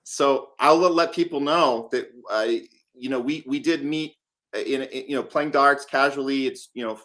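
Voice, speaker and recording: A man's voice: mid-range voice, moderate pace, a bit bright. Male host, conversational interviewer, mid-range voice.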